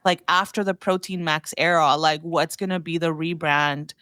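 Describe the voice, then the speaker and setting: A woman's voice: sits low, steady tone, normal speed, fairly bright tone, clear, resonant tone, no echo, studio quality. A woman giving casual conversational commentary as a podcast guest, rambling informally and peppering her sentences with "like". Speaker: podcast guest, food trends newsletter writer.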